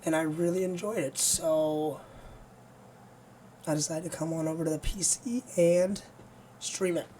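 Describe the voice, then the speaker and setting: A gaming streamer with a hoarse, nearly lost voice, chatting casually and rambling to viewers before playing. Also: medium pitch, steady tone, a little slow, pauses often, warm tone, a little hiss or hum. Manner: rambling, easygoing, many fillers.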